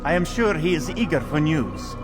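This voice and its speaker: An actor playing a loyal, earnest assassin informant in a video game, friendly but wary, speaking emphatically. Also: male voice, on the high side, lively intonation, moderate pace, natural voice. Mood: assured, cautioning. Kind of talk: dramatic video game acting. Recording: loud music behind.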